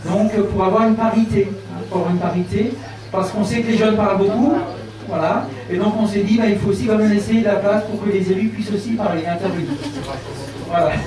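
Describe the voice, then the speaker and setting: A man's voice: high-pitched, fairly even intonation, a little fast, crowd noise. Hesitant man moderating in an echoey hall. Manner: many fillers, repeats "voilà". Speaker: debate moderator. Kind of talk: hesitant welcoming speech. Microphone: handheld mic, heard from afar. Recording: echoey hall, distant, noisy.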